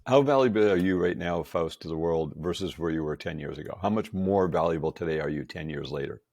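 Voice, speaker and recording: A man's voice: low voice, fairly quick, a little mellow, no echo. A man in his sixties, a podcast guest, talking with enthusiasm and frequent emphasis. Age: 60s. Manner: enthusiastic, emphatic, laughs often. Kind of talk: casual chat.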